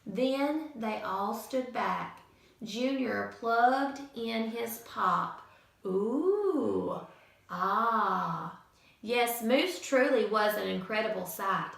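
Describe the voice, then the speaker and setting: A woman teacher reading a picture book aloud to children in an expressive, sing-song storytelling manner. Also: fairly high pitch, extremely slow, vocal fry. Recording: echoey room from far off.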